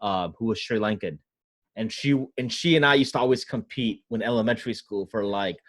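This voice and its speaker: A man's voice: lowish voice, a little fast, a bit bright. A man from Ohio hosting a casual conversation, loose and talkative, often checking in with "right?". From United States, Ohio. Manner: loose, filler-heavy, asks "right?" a lot. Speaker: podcast host.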